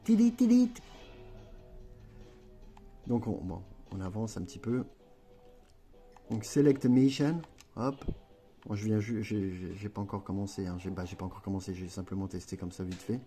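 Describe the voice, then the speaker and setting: Man, gaming tutorial creator. Low, warm voice, loose and rambling delivery with fillers. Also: lively intonation, normal speed, long pauses, soft background music. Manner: chatty and rambling, with many fillers and self-corrections. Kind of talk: casual gameplay tutorial.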